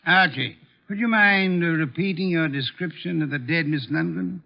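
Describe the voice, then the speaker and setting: Pompous gravel-voiced detective, old radio. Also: male voice, fairly high pitch. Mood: grave, certain. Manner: pompous, deliberate, drawling formal delivery. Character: imperious, shrewd male armchair detective, unhurried and dryly mocking. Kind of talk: 1950s American radio mystery acting. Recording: dull vintage 1950s radio recording.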